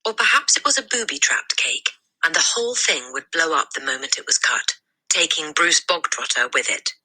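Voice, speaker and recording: A woman reading a children's story aloud as narrator, in an expressive storytelling style. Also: sits low, moderate pace, slightly rough, poor audio. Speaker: storybook reader.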